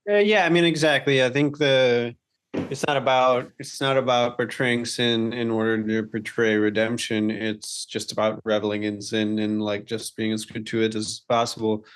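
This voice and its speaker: Man with mid-pitched voice, conversational podcast host. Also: steady tone, moderate pace, clean tone. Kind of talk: casual conversational discussion.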